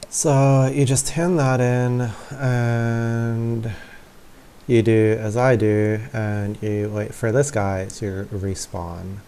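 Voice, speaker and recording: A man's voice: medium pitch, flat delivery, drawn-out words, mellow, slight background noise. A man hosting a gaming video with casual walkthrough commentary. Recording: faint game music behind.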